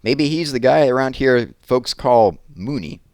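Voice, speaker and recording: A man's voice: mid-range voice, animated, measured pace, a little mellow, smooth, dry recording, clean studio sound, voice pushed up. A man voicing a blunt, skeptical small-town policeman in a story read aloud. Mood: mocking.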